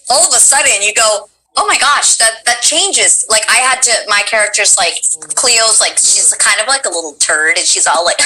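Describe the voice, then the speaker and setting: A woman with a low voice hosting a casual chat as a voice actor, animated and acting out what directors said. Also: slight background noise, poor audio. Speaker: host and voice actor.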